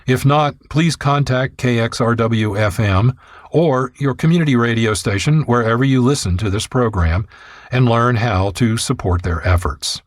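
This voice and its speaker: Dry, mid-pitched male radio host. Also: moderate pace, no echo. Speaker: radio show host and narrator. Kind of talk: scripted narration. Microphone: close studio mic.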